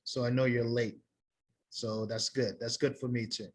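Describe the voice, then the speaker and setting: A man in his thirties, an English teacher, wrapping up an online class in a relaxed, plain way, repeating himself, heard through a laptop on a video call from a small room. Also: mid-range voice, normal speed. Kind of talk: casual online class wrap-up. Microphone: laptop webcam on a video call.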